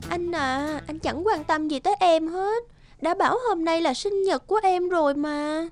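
Young office mistress, pouty and whiny, high pitch, animated. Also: female voice, moderate pace, faint music behind. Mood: pouty, coaxing. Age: young adult. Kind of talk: cartoon dialogue.

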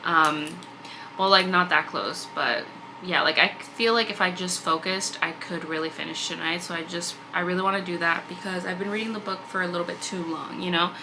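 A woman's voice: fairly low pitch, a little fast, background noise. A young woman chatting casually about books in a reading vlog, with a slightly congested allergy voice and a constant stream of "like" and "um". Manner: chatty, filler-heavy with frequent "like" and "um". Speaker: book vlogger. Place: indoor room with bookshelves. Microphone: fixed camera at desk distance.

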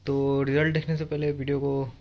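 A man's voice: mid-range voice, flat delivery, fairly quick, lo-fi sound. A man, explaining things step by step in a casual how-to vlog. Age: 20s. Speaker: motovlogger.

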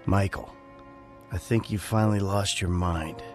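Tired sarcastic middle aged american crook from a video game, lowish voice, music under it. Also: male voice, steady tone, measured pace. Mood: somber, reading aloud. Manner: dry, sarcastic. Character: sardonic, weary middle-aged male criminal. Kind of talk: video game cutscene acting.

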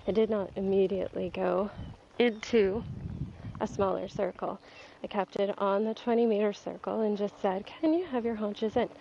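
A woman with a stuffy voice from a cold, calmly explaining her training as she rides in an outdoor arena. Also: medium pitch, vocal fry, slight background noise. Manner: calm, coaching, talks while riding. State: stuffy, getting over a cold. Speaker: dressage rider and trainer. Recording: dull lo-fi outdoor sound. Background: faint outdoor ambience and horse.

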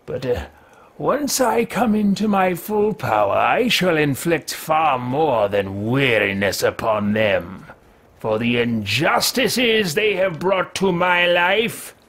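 Man acting as arrogant male wizard, game roleplay, very slow delivery, swooping pitch, theatrical and bitter. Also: sing-song, a bit bright, full character voice. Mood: bitter, menacing. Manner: pompous, theatrical, formal archaic diction. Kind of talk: in-character video game roleplay. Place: small home room, indoors.

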